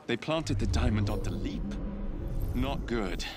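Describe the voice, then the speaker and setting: A cocky young Victorian London street rogue with a British accent. His voice sits fairly low, with game soundtrack music clearly playing behind him. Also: expressive, a little slow. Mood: cocky, brisk. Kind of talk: video game cutscene acting.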